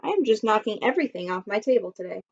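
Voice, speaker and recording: A woman teacher reading a children's story aloud expressively and pausing to put questions to her listeners. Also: mid-range voice, lively intonation, gravelly, a little mellow. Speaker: primary school teacher. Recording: dull lo-fi recording.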